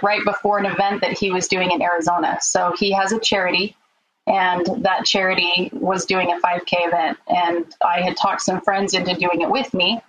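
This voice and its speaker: A woman's voice: lowish voice, poor audio. A woman, a podcast guest, chatting casually as she tells how she met her husband. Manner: chatty, relaxed, puts on quick voices when quoting people. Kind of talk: casual storytelling.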